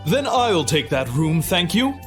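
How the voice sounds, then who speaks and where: Pompous rich game snob, high for a man, swooping delivery, faint music. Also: very animated, unhurried. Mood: smug. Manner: pompous, snooty. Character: haughty, entitled rich traveler. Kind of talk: English video game dub acting.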